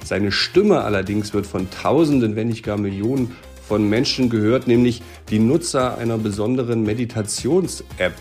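A man hosting a podcast, introducing his guest and asking friendly, relaxed questions. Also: fairly low pitch, moderate pace, soft background music. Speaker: podcast host, newspaper editor-in-chief. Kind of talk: podcast interview.

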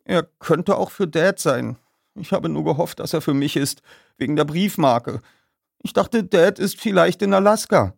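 Man voicing an anxious young boy, thin high voice. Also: animated, vocal fry, breathy edge, dry recording, studio quality, exaggerated voice. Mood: hesitant. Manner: halting, upset. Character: anxious, sad young boy, shaken and hesitant.

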